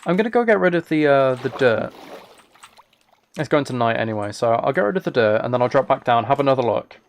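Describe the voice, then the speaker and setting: A man's voice: mid-range voice, expressive, a little fast. A man chatting casually as a live streamer, thinking aloud while he plays a game. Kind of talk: casual gaming livestream chat.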